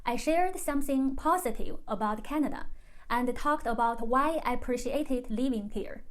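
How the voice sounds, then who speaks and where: Woman, high voice, from China, first language Chinese, calm measured delivery, reflective vlogger monologue. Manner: calm, measured, carefully scripted.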